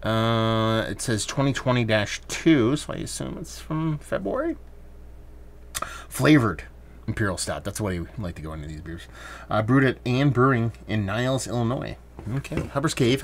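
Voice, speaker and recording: A man hosting a casual beer review, talking in a relaxed, rambling way with plenty of fillers. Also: fairly low pitch, vocal fry, a little hiss or hum.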